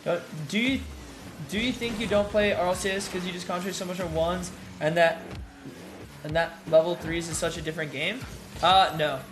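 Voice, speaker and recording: A man's voice: high-pitched, steady tone, normal speed, noisy background. A young man streaming live, chatting casually with his viewers and reading their messages aloud, in a laid-back, filler-heavy way. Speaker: gaming streamer.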